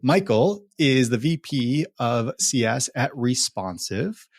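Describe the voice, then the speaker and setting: Brief: male podcast host, mid-pitched, dry close sound, playful and teasing. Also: lively intonation, takes it slowly, no room sound. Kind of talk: casual podcast chat.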